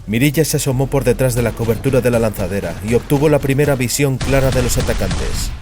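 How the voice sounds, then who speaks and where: Un hombre que narra un relato dramático con guion, como narrador de audioficción. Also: male voice, mid-range voice, a little mellow. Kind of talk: scripted dramatic story narration.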